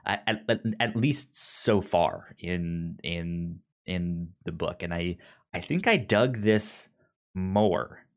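A man with a low voice talks through his thoughts at an unhurried pace in a casual book chat. His speech is halting, and he often stammers on the start of a word or repeats it before moving on. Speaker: podcast co-host.

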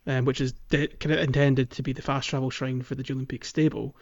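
A man's voice: medium pitch, level tone, a little fast, darkish tone, dull audio. A man hosting a casual gaming podcast, talking in an informal, conversational way. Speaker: podcast host. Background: music.

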